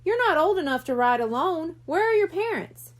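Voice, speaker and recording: A woman's voice: very high-pitched, very animated, moderate pace, voice pushed up. A woman reading the part of a tough, skeptical older stable manager in a children's storybook. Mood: brusque.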